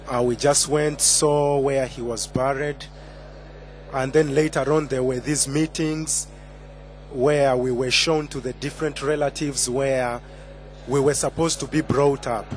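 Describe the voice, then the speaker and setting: A man's voice: medium pitch, slight background noise. A man in his twenties from Uganda giving a personal testimony at a conference, hesitant, with many fillers and restarts. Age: 20s. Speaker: conference speaker.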